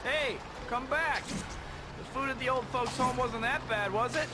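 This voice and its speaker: A man's voice: extremely high pitch, measured pace, background noise. An actor playing a cocky young superhero in a video game, flippant and teasing in his delivery. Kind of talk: video game hero acting. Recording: voice under loud game music.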